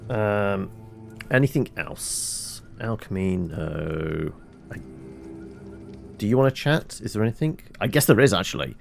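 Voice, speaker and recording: Low-voiced, lively man commentating a game. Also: measured pace, creaky. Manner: musing, asks and answers own questions. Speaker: video game streamer. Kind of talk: casual gameplay commentary, thinking aloud.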